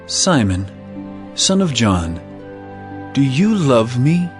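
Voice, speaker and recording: A calm male teacher from a scripture drama, tender but full of authority. His delivery is solemn and deliberate, with an expressive, moving pitch. Music plays clearly behind him. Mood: tender, probing.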